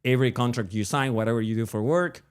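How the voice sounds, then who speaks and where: A man's voice: medium pitch, fast talker, smooth. Laid-back Argentine-accented man joking around. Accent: Argentine Spanish. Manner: joking, rambling, frequent restarts and laughs. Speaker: podcast co-host. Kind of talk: casual chat.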